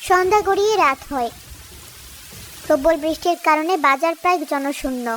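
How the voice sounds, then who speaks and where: Very high-pitched woman narrating a story. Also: moderate pace, fairly bright tone, slight background noise. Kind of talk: scripted cartoon story narration.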